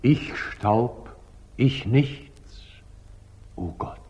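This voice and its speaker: An actor playing a proud, magnanimous sultan with weighty, emotional declamation, in the style of 1940s radio drama. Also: male voice, medium pitch, drawn-out words, warm tone, husky. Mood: awed, moved. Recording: aged 1940s radio recording.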